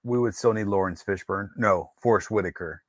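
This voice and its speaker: Man, lowish voice, casual banter, wry, quippy, joking. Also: a little fast.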